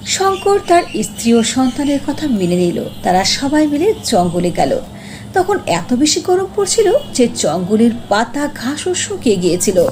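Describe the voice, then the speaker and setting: A woman's voice: a little high, sing-song, normal speed, darkish tone, slight background noise. A woman giving scripted fairy tale narration for a cartoon.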